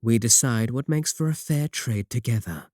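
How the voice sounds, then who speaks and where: A man playing a courteous, manipulative warlock shopkeeper in a roleplay audio, coaxing and flattering his guest with polite, unhurried talk. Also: mid-range voice, dry recording, clean studio sound, close to an everyday voice. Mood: smooth, persuasive. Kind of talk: second-person roleplay audio acting.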